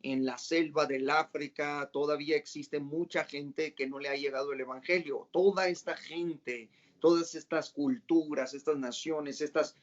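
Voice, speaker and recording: A man with a slightly high voice hosts a casual religious interview. He asks long, winding questions and keeps rephrasing them with fillers. The sound is dull and lo-fi. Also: steady tone, measured pace, few pauses. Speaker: host and interviewer.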